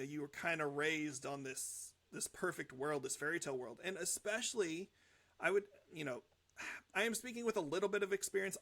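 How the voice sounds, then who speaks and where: A man's voice: on the high side, vocal fry, a bit bright. A young man hosting a music reaction show, talking casually in an earnest, reflective, rambling way. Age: young adult.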